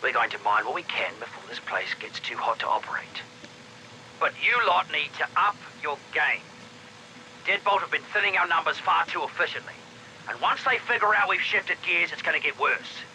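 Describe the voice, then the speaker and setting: A hard mercenary boss in a video game, with a very bright, forceful edge to his voice. He gives orders curtly and greedily. There is faint noise on the recording, and the pitch sits a little high. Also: animated, moderate pace, creaky, exaggerated voice, rough recording. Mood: commanding, greedy. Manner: curt, commanding. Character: hard, greedy male mercenary leader briefing his crew. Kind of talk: game audio log, villain briefing.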